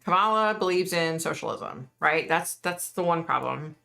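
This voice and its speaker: Blunt deep-voiced woman with gravelly edge. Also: expressive, normal speed, pushed and bright. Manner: blunt, opinionated, ranting. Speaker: psychic livestream host. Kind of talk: casual live chat answering viewers.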